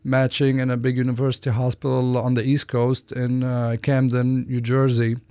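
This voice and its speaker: Male doctor from Lebanon, studio interview guest, hesitant phrasing with false starts, mid-range pitch, dry close sound. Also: completely flat delivery, moderate pace, a little mellow, clean tone, no room sound. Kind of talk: interview answers. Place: radio studio.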